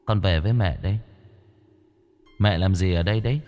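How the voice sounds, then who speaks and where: A man voicing the ghost of a young man, speaking low, hushed and eerie. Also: moderate pace, big-room sound, faint music behind, natural voice.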